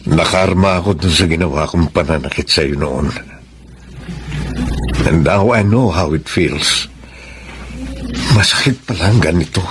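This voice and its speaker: Slow, deep-voiced, mournful Filipino man. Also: a little hiss or hum. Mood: regretful. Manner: mournful, confessional. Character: Arman, remorseful middle-aged abandoned ex-husband. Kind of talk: Filipino radio drama acting. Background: music.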